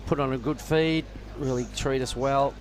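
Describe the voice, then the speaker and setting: A man giving relaxed, knowledgeable live surf contest commentary from a judging tower. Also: mid-range voice, moderate pace. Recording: noisy outdoor ambience with traffic.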